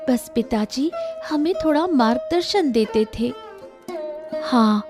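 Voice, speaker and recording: A woman plays an earnest young village woman in a cartoon moral tale. Her voice is on the high side, respectful and patient, and unhurried over background music. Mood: earnest, reassuring. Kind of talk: Hindi cartoon moral-story dialogue. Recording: music bed under the voice.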